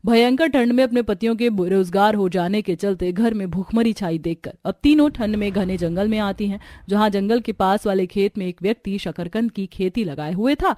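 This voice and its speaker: A woman's voice: medium pitch, moderate pace, hardly any pauses, dark tone, no room sound, clean studio sound. A woman narrating a scripted cartoon story.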